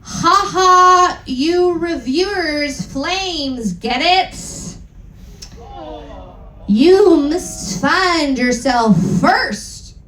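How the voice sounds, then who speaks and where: A woman's voice: extremely high pitch, expressive, very slow, a bit bright, voice pushed up, full character voice, rough recording. A woman voicing a pompous, cryptic old male wizard headmaster with a portentous, jokey manner in a story reading.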